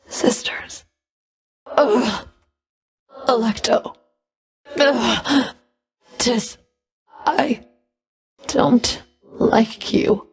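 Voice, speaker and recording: A cold female Fury, a whip-wielding enforcer of the underworld in a video game. Her delivery is slow and deliberate, with heavy vocal fry and a breathy edge. She speaks in curt, scornful commands and taunts. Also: high voice, heavily acted. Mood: pained, defeated. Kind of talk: video game combat voice lines.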